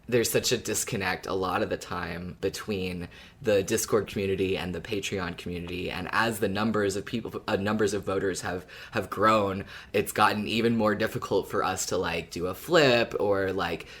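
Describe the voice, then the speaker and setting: Male podcast co-host, lower-pitched voice, thoughtful and explanatory, casual chat. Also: steady tone, moderate pace, a bit bright. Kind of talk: casual podcast discussion of a fiction show.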